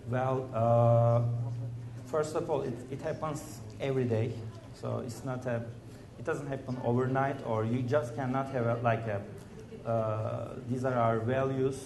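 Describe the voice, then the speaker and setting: A man speaking as a startup founder on a conference panel, earnest and measured, often filling pauses with "you know" and "uh". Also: medium pitch, steady tone, a crowd in the background. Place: conference stage in a large hall. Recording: echoey conference hall.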